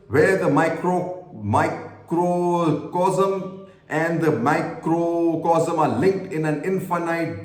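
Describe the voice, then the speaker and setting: A man reads a written piece aloud, pausing to add his own comments, earnest and prone to small stumbles. His voice sits a little high for a man. The room around him is noticeably echoey. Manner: earnest, stumbles and self-corrects while reading. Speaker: commentator reading an essay aloud. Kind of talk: reading aloud with personal asides.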